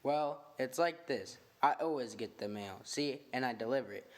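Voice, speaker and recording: A man's voice: medium pitch, moderate pace, lightly acted. A man playing a greedy, tough-talking chauffeur and bodyguard in an old-time radio drama, speaking in slangy, drawling, ungrammatical street talk. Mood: wheeler-dealing.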